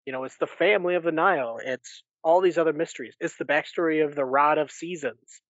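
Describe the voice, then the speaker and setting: A man's voice: a little high, fairly quick, a bit bright, no room sound, muffled recording. A man co-hosting a podcast, talking casually through a recap of books. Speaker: podcast co-host. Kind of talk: casual book recap discussion.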